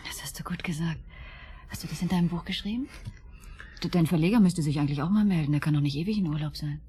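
An actress playing a weary, wry, needy wife in an intimate German radio drama, murmuring in a breathy half-whisper. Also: female voice, sits low, fast talker, warm tone, a little hiss or hum, lightly acted. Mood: soothing, wry.

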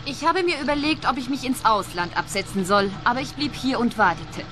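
A bitter, defiant woman boss played by an actress with a high, lively voice, blunt and cold in a radio crime play. Music runs behind her. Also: a little fast, lightly acted. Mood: bitter, resigned. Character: bitter, cold widowed gas station boss, unrepentant killer.

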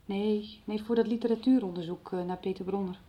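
A woman's voice: mid-range voice, steady tone, fairly quick, mellow, close to an everyday voice. An actress playing an earnest, indignant schoolteacher in a radio drama, direct and insistent in her questions. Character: indignant, earnest female schoolteacher defending herself. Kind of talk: 1990s radio drama acting. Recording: slightly dull radio drama recording.

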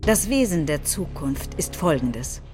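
Confident nationalist politician, lowish voice, solemn radio play speech, faint music. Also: female voice, sing-song, measured pace, darkish tone. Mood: confident, proclaiming. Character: confident nationalist politician proclaiming a new Europe. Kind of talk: staged recitation of political speeches.

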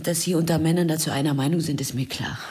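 A woman's voice: low voice, fast, a little mellow, lightly acted. A woman playing a bitter, mistrustful wife in a radio crime drama, speaking in a curt, sardonic way. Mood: cold, bitter. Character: bitter, guarded wife, sharp-tongued and mistrustful. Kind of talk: naturalistic radio drama dialogue.

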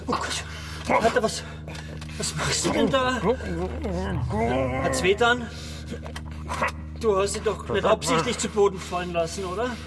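Echoey radio play, scared weak forty-something son, really high voice, pleading and helpless. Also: male voice, heavily acted. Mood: anxious. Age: middle-aged. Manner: pleading, anxious, halting. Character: frightened, helpless, weak-willed son in his forties. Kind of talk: radio drama dialogue. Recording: long reverberant cellar echo.

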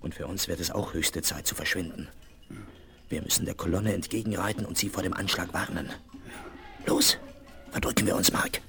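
An actor playing a composed, decisive frontier hero in a 1970s German Western radio play, speaking in a measured, purposeful way. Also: male voice, low voice, sharp tone. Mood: hushed, urgent.

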